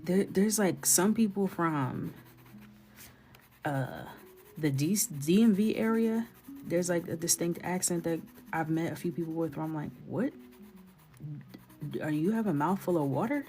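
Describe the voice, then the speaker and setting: A low-pitched young woman from New York talks casually and playfully as she colors at her desk, now and then dropping to a whisper. Faint music plays behind her voice. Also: a little slow, long pauses, mellow. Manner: playful, chatty, drifts into whispers and laughs. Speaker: illustrator coloring on a livestream. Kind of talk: casual chat while coloring.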